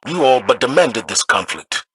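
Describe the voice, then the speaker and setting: An actor playing a stern, principled military commander in a video game, speaking with formal, resolute authority. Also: male voice, mid-range voice, very animated, normal speed, background noise, lightly acted. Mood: firm, defiant. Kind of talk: dramatic video game voice acting.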